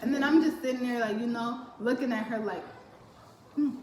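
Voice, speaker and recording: A woman's voice: on the high side, fairly quick, a little mellow. A teenage girl chatting with her cousins, telling a story with lots of laughter and acted-out quotes. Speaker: vlogger. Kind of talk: casual storytime chat. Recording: roomy echo, faint hiss.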